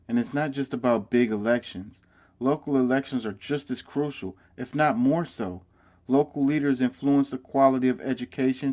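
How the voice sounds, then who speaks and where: This is a male host with a middle-pitched voice. He reads a scripted civic message in an earnest, measured way. Off-script, he turns loud and chatty with his audience. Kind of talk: scripted voice-over narration and casual live talk.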